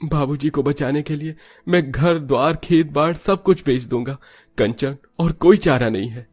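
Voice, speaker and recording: A man's voice: fairly high pitch, normal speed, soft background music, voice pushed up, natural voice. A man voicing an anguished, devoted adult son from a poor village in a dramatized moral story. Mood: tearful, desperate.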